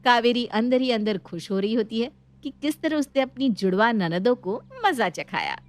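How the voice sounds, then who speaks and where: A woman's voice: on the high side, expressive, normal speed. A woman narrating a scripted story as a storyteller. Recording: music under the voice. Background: background music.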